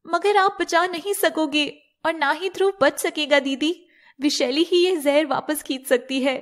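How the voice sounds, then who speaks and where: Woman as an anxious, high-voiced little serpent sister. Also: a little slow. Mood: worried.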